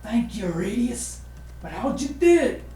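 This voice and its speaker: A man's voice: high voice, very animated, unhurried, warm tone, very echoey, pitched above the performer's own voice. A man voicing a hearty, grateful noble father in a children's storybook, in a declamatory manner.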